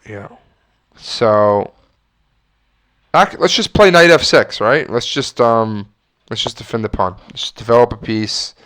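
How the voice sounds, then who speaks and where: A man with a stuffy nose and a slight leftover cough talks himself through chess positions, weighing moves and catching his own slips. His voice sits at an ordinary middle pitch and comes through smooth and clear. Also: slow, a bit bright. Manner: musing, self-correcting, talks through moves. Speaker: chess streamer. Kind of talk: thinking aloud while playing chess.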